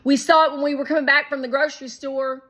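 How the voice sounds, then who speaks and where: A woman voicing an eager, excitable, boastful young boy in a children's storybook. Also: high voice, fast, pitched above the performer's own voice. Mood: excited, eager. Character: Shake, eager loud young visiting boy.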